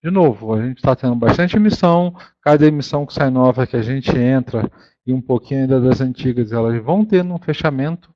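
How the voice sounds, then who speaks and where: A Brazilian man with a mid-pitched voice presents informally as a fund manager on a conference call. He explains in a halting way, repeating words and correcting himself. The audio is dull and lo-fi, with a very dry sound. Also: darkish tone, no room sound.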